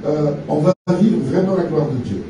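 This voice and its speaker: A man from France preaching a sermon in short phrases, pausing for an interpreter. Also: fairly high pitch, noisy background. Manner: pauses in short phrases for translation. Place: large church hall. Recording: echoey church from far off.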